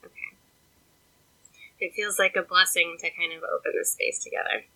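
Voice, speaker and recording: A woman hosting a podcast in casual chat, chatty and giggly, her voice a little stuffed up and croaky. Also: fairly low pitch, fairly even intonation, normal speed, pushed and bright, poor audio. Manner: chatty and giggly, often laughing. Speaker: podcast host.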